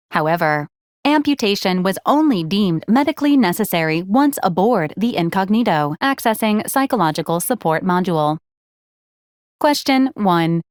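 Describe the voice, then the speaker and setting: Game role: actress as polite female service robot, literal and deadpan, formal clipped phrasing, medium register, dry studio-like sound. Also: mid-range voice, no echo, lightly acted. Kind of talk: scripted video game character lines.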